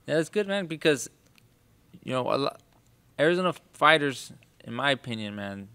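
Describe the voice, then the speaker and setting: This is a male interviewer with a bright, forward voice at an ordinary pitch for a man. He talks in an eager, chatty way and laughs freely as he leads the conversation. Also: big pitch swings, normal speed, smooth. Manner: chatty, enthusiastic, laughs often. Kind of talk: casual interview chat.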